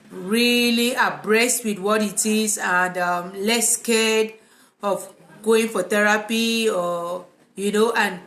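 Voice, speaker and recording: A woman with a Cameroonian accent, a psychotherapist talking casually and informally to viewers in a live vlog, with a relaxed, conversational manner. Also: medium pitch. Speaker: psychotherapist hosting a live video. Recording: echoey, reverberant room.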